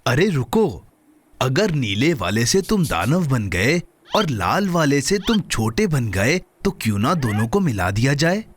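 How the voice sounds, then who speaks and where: Kids' cartoon dub: patient, sensible friend and minder, swinging intonation, reassuring manner. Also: male voice, mid-range voice, big pitch swings, a little slow, breathy edge. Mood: helpful.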